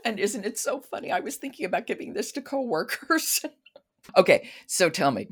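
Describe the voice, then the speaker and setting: A woman's voice: mid-range voice, very animated, moderate pace, slightly rough. A woman hosting a podcast about books in a conversational style, asking questions and introducing titles. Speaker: podcast host. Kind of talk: conversational book-show hosting.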